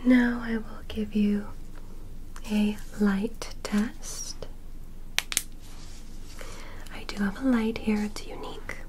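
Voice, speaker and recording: A woman voicing a gentle, curious alien medical examiner in an ASMR roleplay, in a soft, breathy whisper with deliberate short instructions. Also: mid-range voice, little pitch movement, slight background noise, close to an everyday voice, rough recording. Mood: soothing, clinical. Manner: slow, deliberate, short instructions with simple praise. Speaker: ASMR performer playing an examiner.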